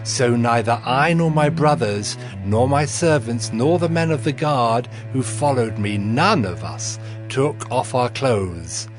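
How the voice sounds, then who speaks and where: A male actor plays a commanding ancient governor in a scripture drama. His mid-pitched voice is solemn and authoritative, with music clearly playing behind it. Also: slow pace, few pauses, natural voice. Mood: solemn narrating.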